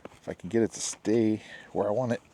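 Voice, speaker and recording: A man's voice: fairly low pitch, fairly even intonation, a little fast, background noise. A middle-aged man with a head cold, chatting casually outdoors as he demos a knife and jokes along the way. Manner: chatty, jokey, says "guys" and "bam" a lot. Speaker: knife reviewer. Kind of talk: casual product demo. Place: backyard, outdoors.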